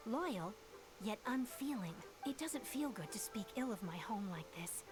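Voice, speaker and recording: A woman playing an earnest young female refugee in a video game, telling things in a measured, sincere way. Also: medium pitch, lively intonation, natural voice. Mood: grave. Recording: game music behind the voice.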